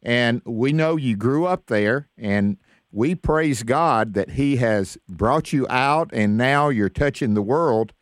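A man's voice: medium pitch, slow pace, no room sound, clean studio sound. A man hosting an interview show, speaking as a radio presenter to listeners and guests. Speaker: host.

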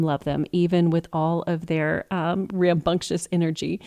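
A woman in her forties from Texas hosts a family radio talk show, chatty and upbeat and full of friendly asides. Her voice sits lowish and sounds mellow and warm. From Texas, USA.

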